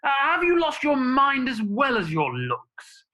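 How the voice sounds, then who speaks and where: A woman's voice: sits high, huge pitch swings, unhurried, pushed and bright, exaggerated voice. A woman playing a mean, pompous male landlord squire in a radio pantomime, scornful and declamatory. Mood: disgusted. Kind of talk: radio pantomime villain acting.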